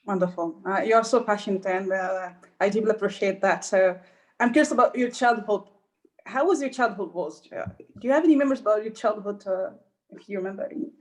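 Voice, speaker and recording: Woman podcast host with a halting manner. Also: medium pitch, a little mellow, rough recording. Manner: hesitant questions, with fillers and restarts. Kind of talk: interview hosting.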